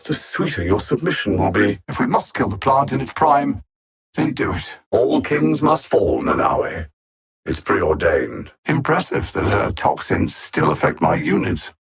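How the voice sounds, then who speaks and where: Alien machine overlord, husky edge, widely swinging pitch, precise, condescending, cold menace, video game villain. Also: male voice. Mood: gloating menace, then condescending, clinical. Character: cold, haughty alien machine intellect, a male conquering supervillain.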